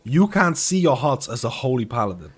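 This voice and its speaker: A man's voice: medium pitch, very animated, brisk, husky. A man gives casual, opinionated live gaming commentary as a streamer, swearing offhandedly. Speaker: game streamer.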